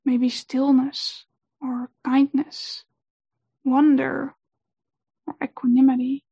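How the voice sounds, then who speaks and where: A woman whose first language is Dutch gives a gentle, unhurried talk on meditation, pausing often with fillers. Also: sits high, flat delivery, creaky, husky, dull audio. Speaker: meditation teacher.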